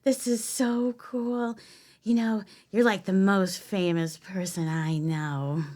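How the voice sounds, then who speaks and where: Woman acting giggly, tipsy, slow-talking flirt. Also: medium pitch, fairly even intonation, a little mellow, lightly acted. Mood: flirtatious, coaxing. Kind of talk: stage readers theater audio play.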